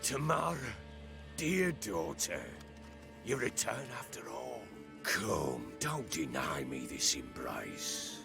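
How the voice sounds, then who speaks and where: A gravelly middle-aged man, a rough game baron whose voice swings widely in pitch. He is coarse and blustering, turning from sardonic to beseeching, with music loud behind him. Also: very animated, slow, full character voice.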